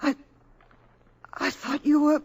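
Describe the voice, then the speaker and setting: A woman's voice: sits high, flat delivery, takes it slowly, a little mellow. An actress playing an anxious, earnest woman with a breathless, emotional delivery in mid-century American radio drama. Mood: stunned. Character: anxious, earnest young woman aiding an alien. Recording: old radio recording with dramatic music.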